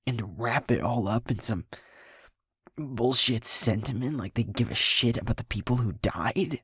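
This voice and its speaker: A man playing a sweet, shaken young werewolf boyfriend in an intimate audio roleplay, halting and stammering, with a breathy, husky voice. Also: medium pitch, no room sound, studio quality. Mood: angry, indignant. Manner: halting, hushed, stammering, sighing.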